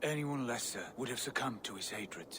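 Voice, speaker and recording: A man's voice: mid-range voice, expressive, normal speed, natural voice. Man as solemn warrior god, widely swinging voice. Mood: somber. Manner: formal, grave, declamatory. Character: proud male Norse god warrior, solemn, resolute. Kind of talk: dramatic video game acting. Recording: noisy game sound effects behind voice.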